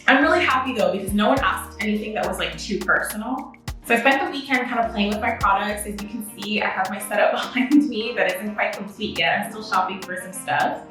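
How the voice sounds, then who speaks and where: A young woman whose first language is French talks to her viewers in a chatty, upbeat way as a makeup artist. Her voice is bright and forward. She sits back from the mic in a very echoey room, with soft music under her. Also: mid-range voice, a little fast, far from the mic. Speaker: beauty vlogger and makeup artist. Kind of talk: casual to-camera vlog. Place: indoor home studio with a makeup setup.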